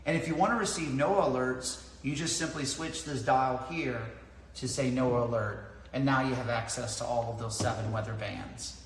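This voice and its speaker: A man's voice: mid-range voice, moderate pace. A man in his thirties explaining a product methodically to camera, as a reviewer does. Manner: methodical, explaining points in order. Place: large indoor lounge with tiled floor. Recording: echoey hard-walled room, clear background noise.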